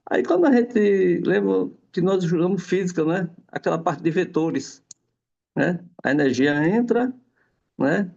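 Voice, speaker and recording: A man with a gravelly, rough voice talks through an explanation in a loose, rambling way, tagging his sentences with little questions. His voice sits a bit high for a man, and the recording sounds dull and lo-fi. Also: a little mellow. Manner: rambling, many tag questions. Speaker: guest explaining homeopathy. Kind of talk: casual explanatory chat.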